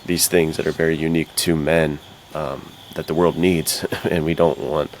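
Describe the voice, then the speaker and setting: Extremely deep-voiced, earnest man. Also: little pitch movement, moderate pace, rough recording. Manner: earnest, reflective. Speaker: podcast co-host. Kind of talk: casual podcast chat. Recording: faint background noise.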